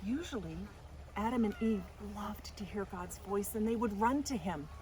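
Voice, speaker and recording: A woman reading a children's story aloud as a storytime host, with deliberate, dramatic expression. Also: medium pitch, animated, darkish tone. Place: outdoors in a garden. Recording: faint outdoor noise, birds or animals. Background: faint outdoor ambience.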